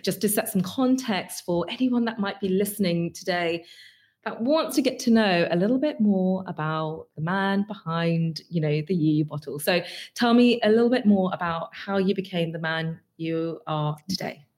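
London woman hosting a podcast, low-voiced. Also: moderate pace, a little mellow. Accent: London English. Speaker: podcast host. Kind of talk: scripted intro and interview.

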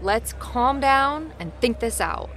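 A woman's voice: fairly high pitch, lively intonation, takes it slowly, fairly bright tone. A woman playing a cautious, level-headed survivor in a zombie audio drama, speaking firmly and sensibly. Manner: firm, sensible.

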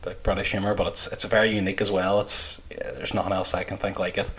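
A man's voice: low-pitched, level tone, fast talker, a bit bright. A man co-hosting a podcast in casual conversation. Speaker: podcast co-host. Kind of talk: casual chat.

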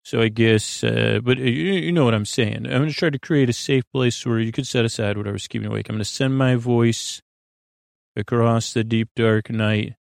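A man with a creaky voice hosting a bedtime podcast in a soothing, lulling, meandering monologue that halts and drifts into tangents. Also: medium pitch, dry recording, clean studio sound. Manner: meandering, halting, trailing off into tangents and repeats. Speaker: host of a bedtime story podcast. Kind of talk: rambling bedtime monologue to lull listeners to sleep.

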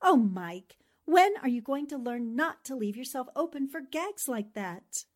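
A confident 1940s radio newspaperwoman, played by an actress, wry and knowing. Her voice sits fairly high and swings expressively, with a measured, unhurried delivery. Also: expressive. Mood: teasing. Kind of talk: 1940s radio drama acting.

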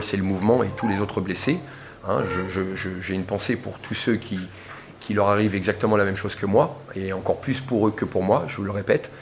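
A man's voice: fairly low pitch, gravelly, background noise. Impassioned man at a press interview. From Portugal. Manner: impassioned, insistent, repeats for emphasis. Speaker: protester giving a press interview. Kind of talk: press conference statement.

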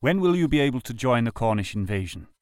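A man reads vintage correspondence aloud. His voice is medium in pitch and recorded very dry. The delivery is deliberate and formal, with the expression of a dramatic reading. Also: very animated, clean tone, no echo. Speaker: reader of historical letters. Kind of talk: dramatic reading of old love letters.

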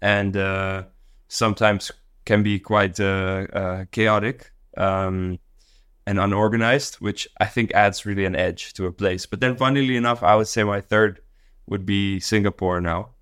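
A man with a low, smooth and resonant voice, a guest who grew up in Tanzania. He talks casually and thoughtfully, hedging with fillers and restarting his sentences. The sound is close and dry. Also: steady tone, measured pace, a bit bright, no echo. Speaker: guest, company co-founder. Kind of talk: casual podcast interview.

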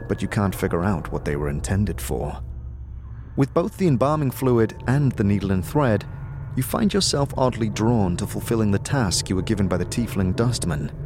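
A man's voice: lowish voice, expressive, normal speed, background noise. A man narrating a scripted fantasy audio drama in the manner of a storyteller. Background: music.

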